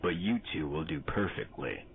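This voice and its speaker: A man's voice: rumbling low, lively intonation, moderate pace. A man voicing a creepy adult stranger who menaces children, gloating and threatening. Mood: menacing. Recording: lo-fi phone-like animation audio, noisy.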